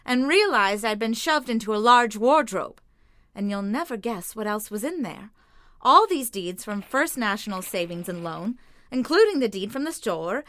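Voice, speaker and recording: A plucky, self-assured assistant in an audio mystery. Her high, bright voice moves animatedly as she speaks with brisk emphasis. It is recorded dry and close. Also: no echo. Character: plucky young female magician's assistant, indignant and self-assured. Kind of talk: audio drama acting.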